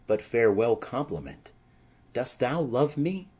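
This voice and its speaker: An earnest young maiden in love, acted by a man, speaks Shakespearean verse in an audiobook drama. The delivery is slow and anxious, with a husky grain to the voice. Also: medium pitch, sing-song, a little mellow.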